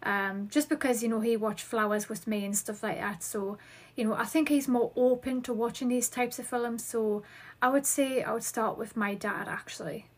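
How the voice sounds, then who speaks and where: A woman's voice: a little high, fairly even intonation, moderate pace, breathy edge. A woman in her thirties with a Geordie accent from northeast England, hosting a solo podcast and chatting through listener questions. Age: 30s. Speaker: podcast host.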